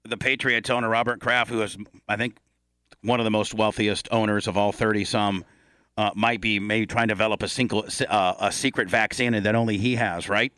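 Guy hosting sports talk radio, blunt and jokey, sharp bright voice, really dry sound. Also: fairly low pitch, steady tone, fairly quick, no echo. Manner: blunt, joking, exaggerating. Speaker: radio show host. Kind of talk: casual sports talk banter.

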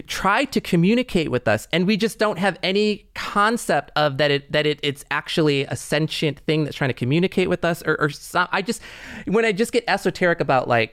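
A man's voice: a little high, lively intonation, moderate pace, a bit bright, no echo, studio quality. A man co-hosting a podcast in a casual conversational style. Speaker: podcast co-host.

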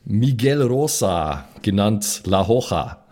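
Lowish-voiced man, casual and joking. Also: animated, slow pace, a little mellow. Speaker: podcast regular and role-play player.

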